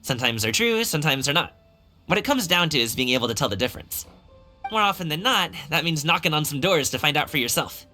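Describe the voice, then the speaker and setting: A man's voice: a little high, big pitch swings, fast, pushed and bright. A man voicing a friendly, earnest young male dancer in a video game, speaking in an easygoing, casual way. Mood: amused, easygoing. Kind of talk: video game character acting. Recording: faint game music behind the voice. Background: faint game music.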